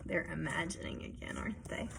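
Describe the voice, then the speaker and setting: A woman reading a children's picture book aloud expressively, pausing to add explanations and check-in questions for young listeners. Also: sits low, wildly swooping pitch, gravelly, noisy background. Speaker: read-aloud storyteller.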